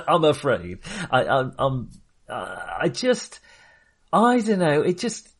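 A man co-hosting a casual film discussion, speaking in a halting, self-correcting way. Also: a little high, huge pitch swings, vocal fry, slightly rough. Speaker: podcast co-host.

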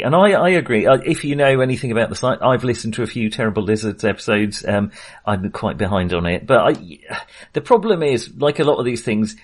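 A man co-hosting a casual film discussion in a conversational, hedging manner. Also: mid-range voice. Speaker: film podcast co-host.

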